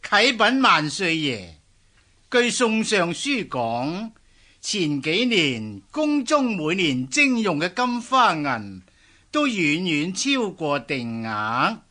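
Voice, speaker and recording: Fawning palace eunuch, sharp bright voice. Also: male voice, high-pitched, sing-song, full character voice. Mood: deferential, reporting. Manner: obsequious, drawn-out, eager to agree. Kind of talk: Cantonese radio drama acting.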